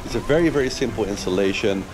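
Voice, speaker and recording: A man's voice: fairly low pitch, fast talker, background noise. A man in his thirties, a sailing vlogger and charter captain, giving an enthusiastic, casual product review from a sailboat, with frequent fillers. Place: on a sailboat, outdoors. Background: music.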